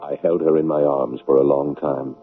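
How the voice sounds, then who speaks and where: A man playing an earnest, self-righteous fiancé and son in a 1970s radio drama, measured, insistent and solemn. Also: rumbling low, level tone, faint music behind. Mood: solemn, confessional. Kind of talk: 1970s radio drama acting and confessional narration. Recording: muffled, phone-like old radio broadcast.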